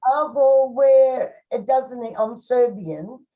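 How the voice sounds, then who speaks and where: A woman's voice: sits high, slow, lo-fi sound. An older woman, chatting casually and reflectively as a podcast co-host, trailing off at times. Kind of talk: casual conversation.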